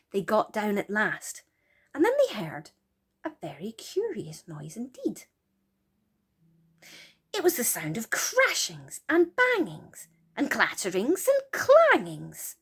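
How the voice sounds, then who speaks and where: A woman storyteller narrating for children in a gentle, expressive way, often dropping to a hushed, breathy near-whisper. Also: mid-range voice.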